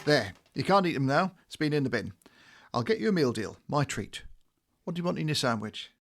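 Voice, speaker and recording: A man's voice: medium pitch, sing-song, a little fast, fairly bright tone, a little hiss or hum. Man acting a playful shop worker, swooping delivery. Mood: friendly. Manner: playful, teasing. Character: chatty, teasing male shop worker, easily bored. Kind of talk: audio drama dialogue.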